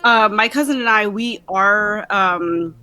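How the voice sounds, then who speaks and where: A woman's voice: mid-range voice, slow pace, pushed and bright. A young woman chatting casually and informally in an online group. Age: young adult. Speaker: participant in an online script-reading group. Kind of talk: casual chat.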